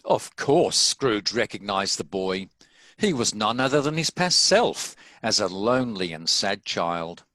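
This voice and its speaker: A man's voice: on the low side, very animated, measured pace, a bit bright, no echo. A man reading scripted story narration as the narrator of a table read.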